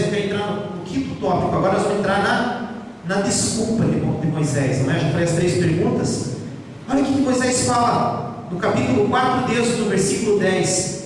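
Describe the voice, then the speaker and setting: A man preaching a sermon with passion, his voice rising and falling as he acts out biblical speech to the congregation. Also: extremely high pitch. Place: church hall. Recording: echoey hall, from far off.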